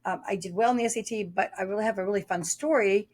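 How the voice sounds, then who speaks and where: A woman's voice: medium pitch, fast, a little mellow. A woman guest on a podcast, an author explaining test preparation in a talkative way, telling stories with frequent fillers. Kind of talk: podcast interview.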